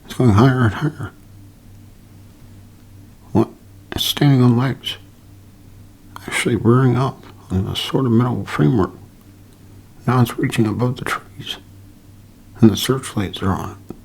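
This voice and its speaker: A man's voice: medium pitch, unhurried, gravelly, long pauses, slightly rough, pitched above the performer's own voice. A man playing an urgent military eyewitness reporter in a 1930s-style radio drama, breaking off in alarm. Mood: alarmed, excited. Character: excited male military radio reporter watching the invasion.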